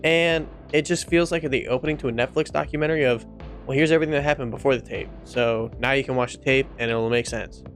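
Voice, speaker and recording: A man with a mid-range voice talks casually to viewers over clearly audible music. He is informal and sweary, and circles back to repeat phrases for emphasis as he gives his opinion. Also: mid-range voice, fairly even intonation, quick pace, fairly bright tone. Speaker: video essay host.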